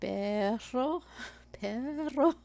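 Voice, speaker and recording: A woman's voice: high voice, lively intonation, very slow, creaky, mellow. A Puerto Rican woman with a Puerto Rican accent, chatting casually and rambling while she glues a craft at her home work table, often laughing at herself. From Puerto Rico. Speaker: crafting livestreamer.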